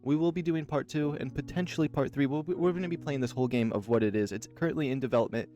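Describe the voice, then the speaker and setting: A man's voice: medium pitch, fast talker, mellow, soft background music. A man from Oregon hosting a let's play, telling rambling anecdotes in a casual way, full of fillers. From Oregon, USA. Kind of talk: casual storytelling while playing a visual novel.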